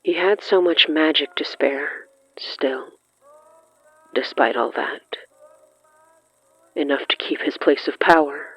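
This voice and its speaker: A narrator reading scripted horror fiction in the third person, brooding and dramatic, with lingering pauses. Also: medium pitch, breathy edge, no echo. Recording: muffled lo-fi sound with music underneath. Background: music.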